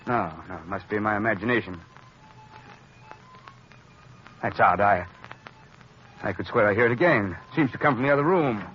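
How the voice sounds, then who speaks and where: A male actor playing a wealthy, skeptical gentleman with formal diction in a 1930s radio drama. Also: fairly low pitch, brisk, lightly acted. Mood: puzzled. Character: wealthy philanthropist, educated, proper, skeptical gentleman. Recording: dull, hissy 1930s radio recording.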